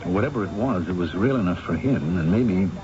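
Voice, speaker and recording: A man's voice: deep voice, lively intonation, a little fast, a crowd in the background. A man playing a determined, inquisitive big-city reporter in an old-time radio drama. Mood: resolved. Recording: noisy, muffled old radio recording.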